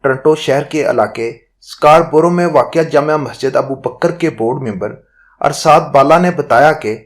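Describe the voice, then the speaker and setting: Higher-pitched man reading formal news. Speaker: news narrator. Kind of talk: scripted news narration.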